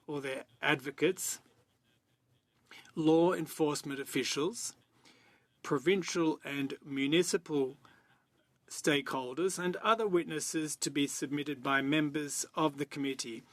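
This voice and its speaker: A man reads formal procedural text aloud in a measured, official manner. His voice sits on the higher side, and the sound is fairly dry and close. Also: no echo. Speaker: committee member. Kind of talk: formal reading of a motion. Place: committee meeting room.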